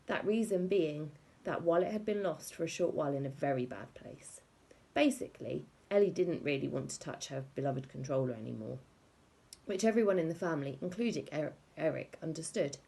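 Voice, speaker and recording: A woman narrating a children's book aloud in an expressive scripted storytelling style. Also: deep voice, a little fast, creaky, slightly rough. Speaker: audiobook reader.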